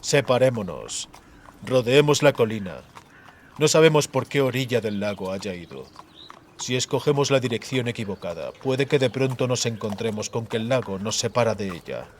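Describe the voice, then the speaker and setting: A man voicing a cold, cautious, hardened bounty hunter in a fantasy audiobook, speaking in a measured, restraining way. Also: medium pitch, animated, slight background noise, pitched below the performer's own voice, natural voice.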